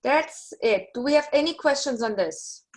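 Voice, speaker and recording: A middle-aged woman with a German accent, a native German speaker, giving a relaxed, reassuring orientation for her online course over a video call. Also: high voice, moderate pace. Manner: relaxed, reassuring, chatty with small restarts. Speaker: university course instructor.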